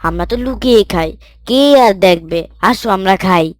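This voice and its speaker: A woman's voice: mid-range voice, lively intonation, measured pace, no room sound, lightly acted. Woman voicing a brash, cocky young cartoon boy. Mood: coaxing. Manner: coaxing, dismissive, brash. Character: sly, cocky young boy tempting his friends. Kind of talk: children's moral cartoon dialogue.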